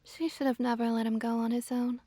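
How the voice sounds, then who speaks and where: A woman softly narrating a scripted ASMR story in a gentle, measured, breathy whisper. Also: fairly high pitch, level tone, dry recording. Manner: soft, gentle, measured delivery.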